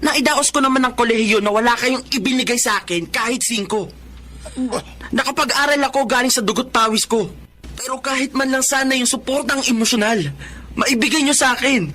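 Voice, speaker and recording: Bright, intense young man in radio drama. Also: very high voice, fairly quick. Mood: hurt, confrontational. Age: young adult. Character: dutiful, resentful grown son, aspiring policeman. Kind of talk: Tagalog radio drama acting.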